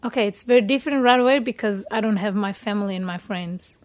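A young woman from Portugal with a Portuguese accent, a native Portuguese speaker telling her story in an interview, often pausing to search for words in English. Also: mid-range voice. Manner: hesitant, searching for words in second-language English. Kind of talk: casual personal storytelling in an interview.

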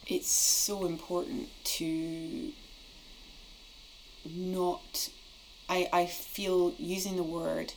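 A woman's voice: fairly low pitch, little pitch movement, very slow, long pauses, slight background noise, poor audio. A middle-aged woman hosting a podcast conversation, often hesitating and restarting her phrases. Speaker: podcast host. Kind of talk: conversational interview.

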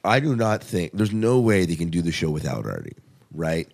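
A man's voice: low-pitched, very animated, moderate pace, creaky, darkish tone. A man hosting a podcast in casual discussion, reacting openly and putting leading questions to his co-host.

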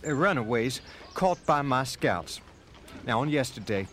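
A man performs a stern official of the Civil War era in a dramatic reading. The delivery is formal and declamatory, with a very animated pitch over clearly audible background noise. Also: natural voice. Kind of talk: dramatic reading of historical letters.

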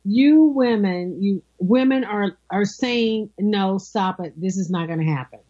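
A woman co-hosting a talk show with a rough voice, upbeat and keen to find the positive. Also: mid-range voice, a little slow, dark tone. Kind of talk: casual interview chat. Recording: dull lo-fi sound.

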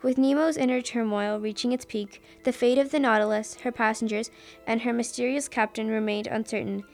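A woman's voice: a little high, steady tone, a little fast, soft background music, lightly acted. A woman reading scripted storybook narration for a radio theatre play.

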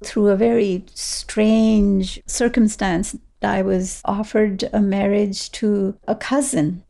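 Woman, 70s, from Kashmir; reflective interviewee, gravelly mellow tone. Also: medium pitch, flat delivery, slow. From Kashmir, India. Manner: reflective, with frequent fillers and restarts. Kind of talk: personal storytelling.

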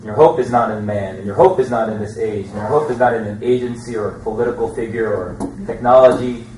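A man's voice: lowish voice, fast talker, crowd noise. A man talking earnestly and conversationally in an informal religious discussion. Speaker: participant in a teaching question-and-answer session. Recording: echoey room, distant mic, faint noise.

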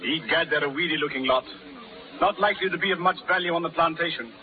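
Over a muffled, hissy old radio recording, a man plays an imperious colonial colonel. He is harsh and contemptuous, barking orders in a raised, high voice. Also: fast talker, lightly acted. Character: harsh, haughty, contemptuous male plantation colonel. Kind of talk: 1930s radio drama acting.

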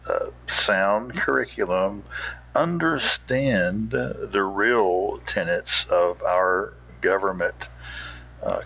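A man hosting with informal, rambling commentary in a folksy, halting manner. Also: sits low, vocal fry, a bit bright, breathy edge. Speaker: podcast host. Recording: dull, muffled recording.

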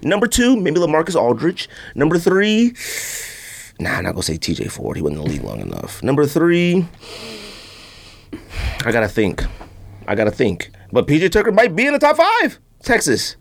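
A man's voice: on the high side, huge pitch swings, moderate pace, vocal fry, long pauses. A man co-hosting a sports and sneaker podcast, speaking in a casual, slangy, opinionated way and ready to argue his point. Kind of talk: casual banter and debate.

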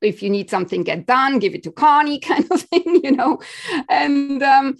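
A woman from Switzerland, a podcast guest telling her story in casual interview talk, halting and restarting, with small laughs. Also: high voice, expressive, gravelly.

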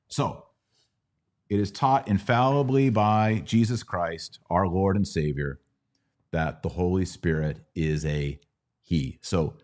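Low-voiced man, lively and emphatic host. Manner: emphatic, repeats key words for stress. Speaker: podcast host. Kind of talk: conversational religious Q&A with teaching.

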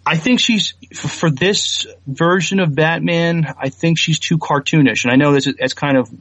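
A man's voice: a little high, normal speed, fairly bright tone, no echo. A man co-hosting a podcast, chatting casually about entertainment news and often thinking aloud in a hesitant way. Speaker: podcast co-host.

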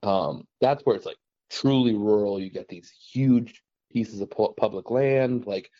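A man's voice: on the low side, a little slow, dry recording, lo-fi sound. A man from western Massachusetts, chatting casually as a podcast host with lots of fillers. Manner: relaxed, rambling, many "like" and "you know" fillers. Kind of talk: casual conversation about trapping.

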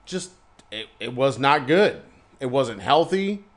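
A man's voice: mid-range voice, lively intonation, slow. An American man co-hosting a casual podcast, blunt and joking, leaning on a running catchphrase. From United States. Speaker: podcast co-host. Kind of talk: casual chat.

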